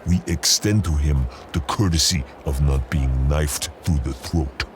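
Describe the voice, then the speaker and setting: An actor playing a stern, threatening palace guard in an audio drama with music under him. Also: male voice, rumbling low, a little slow. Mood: cold. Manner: stiff, threatening.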